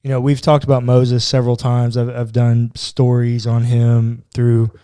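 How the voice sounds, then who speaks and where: A man's voice: medium pitch, completely flat delivery, a little slow, mellow, smooth, dry recording, clean studio sound. A man co-hosting a podcast as a pastor, chatting casually about the Bible with informal, slangy asides. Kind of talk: casual conversational Bible discussion.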